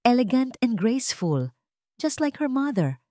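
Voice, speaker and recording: Woman voicing an earnest lovestruck man, animated delivery. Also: on the high side, a little slow, dry recording, clean studio sound, voice pushed up. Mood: fond, proud. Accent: Filipino. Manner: sincere, tender, emphatic. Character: earnest, devoted young male billionaire in love. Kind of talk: romantic audio drama.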